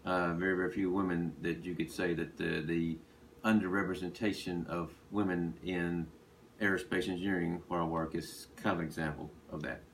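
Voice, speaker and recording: A man from Texas, an engineer answering interview questions with many pauses and fillers. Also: deep voice, fairly even intonation. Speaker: aerospace engineer. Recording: distant mic, faint noise.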